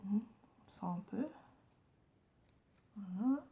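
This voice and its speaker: A woman's voice: mid-range voice, animated, very slow, gravelly, mellow. A woman with a head cold chatting casually through a crafting tutorial, often murmuring and whispering to herself as she works. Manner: drops to murmurs and whispers while working, repeats "voilà" often. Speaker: craft vlogger.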